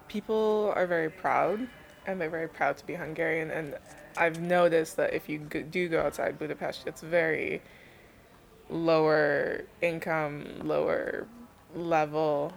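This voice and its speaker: A woman's voice: sits low, gravelly, a little hiss or hum. A young woman from Canada, explaining her research in an informal, thoughtful interview style. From Canada, born in Belgium to Nigerian parents. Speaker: interviewed thesis student.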